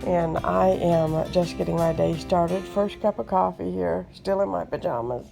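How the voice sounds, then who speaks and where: A woman's voice: on the low side, a little slow, vocal fry, dark tone, soft background music. A woman in her forties from West Virginia with an Appalachian accent, chatting casually to a phone camera at home in her morning froggy voice. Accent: Appalachian American. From West Virginia, USA. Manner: chatty, homey, rambling with fillers. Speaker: home vlogger. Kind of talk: casual chat to camera, grocery haul. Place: small bedroom indoors. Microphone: phone at arm's length.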